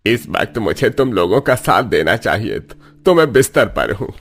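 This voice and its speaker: A man's voice: fairly low pitch, big pitch swings, normal speed, husky, full character voice. Actor as a swooping, melodramatic village man. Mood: helpless. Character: men of a cartoon village, melodramatic. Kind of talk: Hindi cartoon dubbing.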